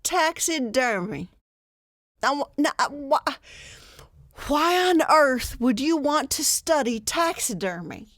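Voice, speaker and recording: A woman's voice: sits high, sing-song, slow, a bit bright, no echo, exaggerated voice. An American woman playing an exasperated, long-suffering wife in old-time radio comedy style, chiding her husband dryly. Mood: scolding. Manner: dry, chiding.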